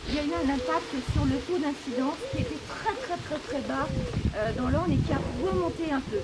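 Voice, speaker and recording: A woman with a high voice speaks in an unscripted official briefing. Her phrasing is formal and halting, with frequent hesitation, and there is clear noise on the recording. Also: lively intonation. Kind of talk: spoken press briefing, unscripted. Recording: clearly noisy on-location recording.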